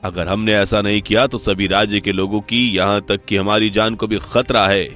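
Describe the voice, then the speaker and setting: Man playing a calm worried king. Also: on the low side, normal speed, faint music behind, close to an everyday voice. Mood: concerned. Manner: calm, courteous.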